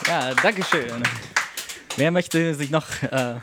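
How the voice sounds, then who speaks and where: A man's voice: a little high, takes it slowly. A man working as a roving radio reporter, improvising casually from a busy kitchen party. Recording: noisy live kitchen party recording. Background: party noise.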